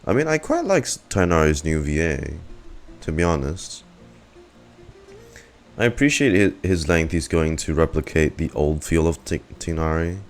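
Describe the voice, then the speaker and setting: A man's voice: very deep voice, expressive, moderate pace, faint music behind. A young man giving casual, slangy game reaction commentary as a streamer, with muttered asides.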